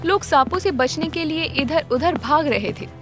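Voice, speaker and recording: A woman giving dramatic, scripted narration for a children's animated tale. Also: sits high, normal speed, music in the background.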